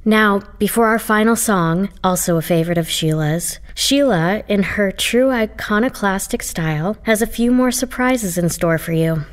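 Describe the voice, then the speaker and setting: An actress playing a flustered, polite reverend trying to keep order at a funeral in an audio play. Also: female voice, mid-range voice, moderate pace, few pauses, close to an everyday voice. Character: nervous female reverend leading a chaotic funeral. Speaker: Reverend Sandy, officiant at the funeral.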